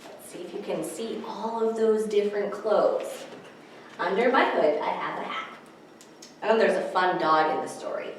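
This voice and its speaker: A woman's voice: mid-range voice, moderate pace. A woman hosting a storytime for small children, teaching and reading aloud in simple, encouraging language. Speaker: children's library storytime host. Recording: echoey room, distant mic, faint noise.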